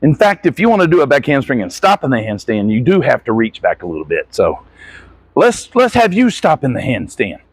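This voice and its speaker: A man's voice: mid-range voice, wildly swooping pitch, fairly quick, husky, dry recording. A man coaching gymnastics, giving hands-on instruction with short encouraging corrections as he demonstrates. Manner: encouraging, corrective asides to athlete.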